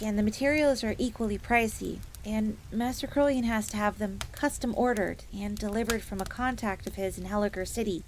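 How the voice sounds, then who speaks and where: A woman's voice: mid-range voice, lightly acted. A woman playing a devoted, eager fox maid who tells her story expressively in the third person, as in an audio drama. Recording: faint crackling fire noise behind voice.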